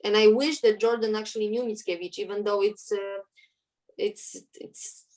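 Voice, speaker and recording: A woman's voice: medium pitch, steady tone, normal speed, darkish tone. A woman with a Polish accent, a native Polish speaker, talking earnestly as an interview guest and often searching for her words. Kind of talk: conversational interview.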